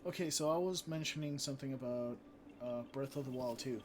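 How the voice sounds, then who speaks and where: A man with a mid-range voice chats easily with his viewers, reading out their comments with plenty of fillers and restarts. Loud game music plays under his voice throughout the stream. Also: medium pitch, a little fast. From Mexico. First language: Spanish. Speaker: live streamer and channel moderator. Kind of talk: casual live-stream chat while playing a game.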